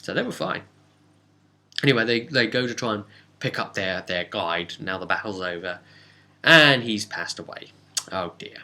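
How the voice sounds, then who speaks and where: A man casually recapping a tabletop role-playing session as game master, telling it like a story with halting asides. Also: lowish voice, lively intonation, sharp tone.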